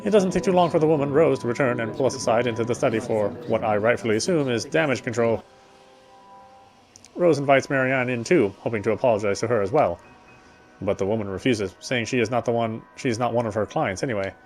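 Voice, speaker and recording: A man's voice: mid-range voice, quick pace, a little mellow. A man reading first-person story narration aloud for a visual novel game. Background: faint music.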